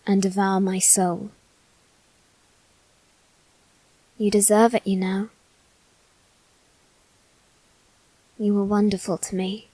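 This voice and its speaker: A woman plays a melancholy young noble boy in an intimate ASMR roleplay. The delivery is very slow and soft, with long dramatic pauses and little rise or fall in pitch. The voice is resigned and solemn, recorded dry and close. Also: no room sound, rough recording. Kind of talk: scripted ASMR roleplay monologue.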